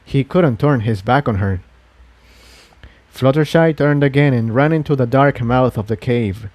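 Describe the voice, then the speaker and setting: A man reading fiction as a dramatic, measured audiobook narrator. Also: mid-range voice, a little mellow, dry recording.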